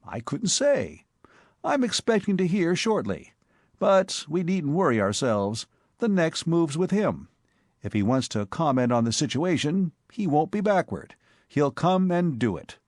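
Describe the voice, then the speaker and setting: A composed young gentleman rogue speaks with easy, dry irony, as a man reads him. His pitch lifts a little above the reader's own and moves in lively curves, recorded close and dry. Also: a little slow, dry recording. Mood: calm ironic. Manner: calm, dry, ironic. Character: cool, witty gentleman ex-burglar, young man.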